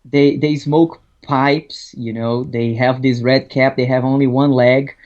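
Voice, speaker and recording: A man's voice: medium pitch, level tone, measured pace, darkish tone. A man from Brazil with a Brazilian Portuguese accent, a podcast guest chatting casually and hesitantly, restarting often. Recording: dull lo-fi audio.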